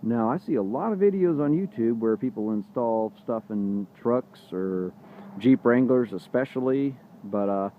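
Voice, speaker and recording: Man, medium pitch, casual vlogger, hands-on explainer, halting phrasing, frequent mid-sentence pauses. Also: lively intonation, phone-quality sound, a little hiss or hum. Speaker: vlogger showing a radio install.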